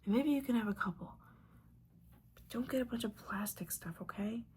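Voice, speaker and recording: A woman's voice: a little high, moderate pace, darkish tone, a little hiss or hum, close to an everyday voice. A woman playing a disappointed, sarcastic witch mentor in an ASMR roleplay, whispering breathily in a casual, sweary, scolding manner.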